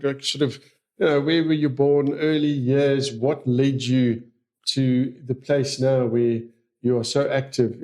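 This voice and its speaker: A man's voice: mid-range voice, a little mellow. Hesitant male podcast host. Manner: hesitant, many fillers. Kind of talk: interview hosting.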